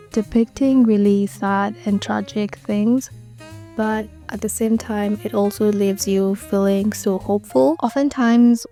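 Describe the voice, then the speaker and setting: Mellow, flat-toned woman reviewing books. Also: mid-range voice. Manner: earnest, enthusiastic, conversational. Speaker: book reviewer. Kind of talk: casual book review monologue.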